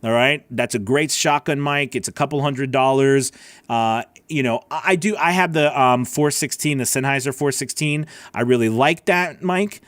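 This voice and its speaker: A man's voice: medium pitch, moderate pace, a bit bright, no room sound. A man hosting a casual livestream Q&A as a voice-over coach, rambling with many fillers and restarts.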